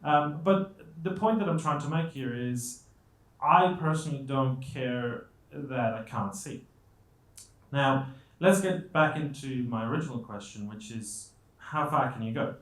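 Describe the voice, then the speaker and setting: A man's voice: mid-range voice, a little slow, a bit bright. A man from Colombia giving a conversational motivational talk to an audience, with self-deprecating humour and rhetorical questions. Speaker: guest speaker. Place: indoor event stage. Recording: echoey room.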